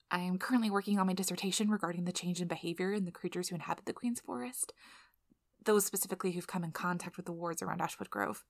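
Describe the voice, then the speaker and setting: A woman playing a nervous young female PhD researcher in an ASMR role-play, flustered, halting and apologetic, with breathy whispered asides. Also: on the low side, no room sound, studio quality. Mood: earnest, absorbed.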